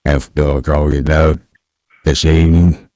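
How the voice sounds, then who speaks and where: A man's voice: flat delivery, mellow, no echo, clean studio sound. A man playing a rambling old backwoods Southern man on a prank call, mumbling and slurring through a voice changer. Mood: rambling, unhurried. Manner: rambling, halting, repeats words. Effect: pitch-lowering voice changer. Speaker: scam baiter. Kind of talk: prank phone call in character.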